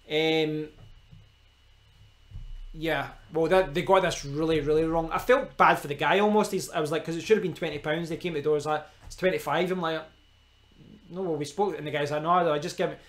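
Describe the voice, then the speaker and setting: A young man with a strong Glaswegian Scottish accent, rambling casually to viewers on a live stream from his kitchen, with frequent restarts and asides. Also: fairly high pitch. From Scotland. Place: kitchen at home.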